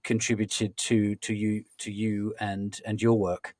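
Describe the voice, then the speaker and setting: A man hosting a podcast, asking long, halting questions with many restarts and explaining with analogies. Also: lowish voice, completely flat delivery, a little mellow, dry recording, clean studio sound. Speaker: podcast host. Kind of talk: conversational interviewing.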